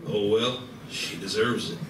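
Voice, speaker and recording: A grumbling alien con artist , acted by a man in a live comedy radio play. He is sarcastic, exasperated and crude in his complaints. The recording is roomy and distant, with clear noise around it. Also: on the low side, steady tone, unhurried, lightly acted. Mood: sardonic. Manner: exasperated, foul-mouthed complaining.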